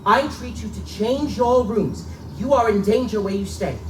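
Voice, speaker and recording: Man acting anxious young gentleman, high-ish, echoey. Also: expressive, moderate pace. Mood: anxious, urgent. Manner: urgent, earnest, guarded. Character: Lee, anxious upright young clergyman's son warning a friend. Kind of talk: live dramatic reading of gothic pulp tale. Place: live stage reading in a bookstore. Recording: echoey live recording, distant mic, faint noise.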